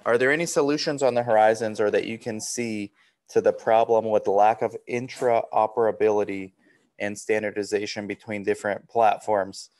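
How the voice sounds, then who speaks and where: Low-voiced man casually hosting a webinar. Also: steady tone, normal speed, a little mellow. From born in Romania, raised in the US from age three. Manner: informal, chatty. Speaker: webinar host. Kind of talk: casual moderating, questions and thanks.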